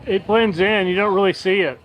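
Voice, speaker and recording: An older man in his sixties speaks in short, dry comments with a slightly high voice. The chat is casual and wry, recorded from behind a camera with clear noise around it. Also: steady tone, fairly quick, a bit bright. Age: 60s. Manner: brief, dry, wry remarks. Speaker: father helping as camera operator. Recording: noisy on-location audio from behind camera.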